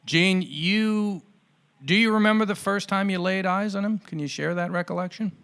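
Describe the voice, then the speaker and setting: A man hosting a podcast interview, asking questions in measured, deliberate, formally worded phrases. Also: high voice, gravelly, a bit bright, smooth. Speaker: podcast host interviewing guests.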